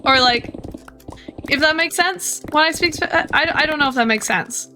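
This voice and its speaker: A woman's voice: high-pitched, moderate pace, bright, forceful tone, soft background music. An American woman chatting casually on a live stream, rambling and hesitant with many restarts.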